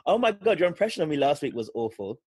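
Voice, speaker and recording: A man's voice: on the high side, very animated, fast, a little mellow, husky, no room sound, studio quality. A man co-hosting a podcast, teasing his friend in casual chat. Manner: teasing, chatty. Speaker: podcast co-host.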